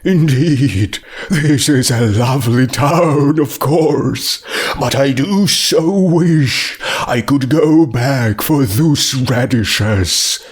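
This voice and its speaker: A man voicing a friendly, wistful young undead pony stallion, sighing and savouring his words in a storybook reading. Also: medium pitch. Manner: breathy sighs and gasps, slow savouring exclamations.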